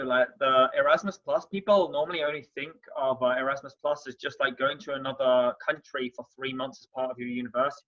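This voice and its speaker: A young man hosting a podcast in a relaxed, casual way, using filler words like "like". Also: mid-range voice. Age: young adult. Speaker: podcast host. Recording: dull, muffled audio.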